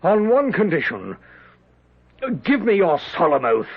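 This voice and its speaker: An actor playing a pompous army general in a 1970s radio drama, with a theatrical, commanding delivery. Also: male voice, high-pitched, wildly swooping pitch, unhurried, slightly rough, heavily acted. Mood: resigned, wry. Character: pompous British army general, shaken by terror. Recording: dull, noisy 1970s radio recording.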